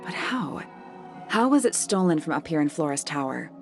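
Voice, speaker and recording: A sharp, pensive game detective, with a lowish voice full of vocal fry. She reasons in terse, measured phrases, with faint music underneath. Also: very animated. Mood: pensive, questioning. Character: sharp, pensive female detective partner in a mystery. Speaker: detective character in a mystery game. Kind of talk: video game voice acting, measured deduction.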